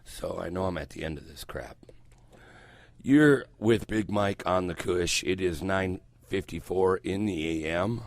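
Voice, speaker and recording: An older man hosting morning radio, his voice hoarse and croaky as he gets over a cold, rambling loosely and swearing freely into a headset mic in a small studio. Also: low voice, measured pace, dry recording. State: recovering from a cold, voice coming back. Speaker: radio host. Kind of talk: casual morning radio chat. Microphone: headset mic around the ear.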